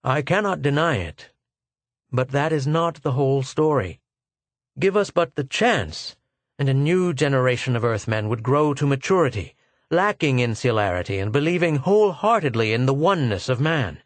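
A man's voice: mid-range voice, animated, moderate pace, no room sound, voice pushed up, close to an everyday voice. A man voicing a weary, haggard older physicist who speaks in a grim, earnest way.

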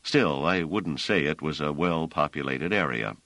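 A man's voice: very deep voice, normal speed, a bit bright, no room sound. A man voicing a wary, wry male space traveler who leads his small group.

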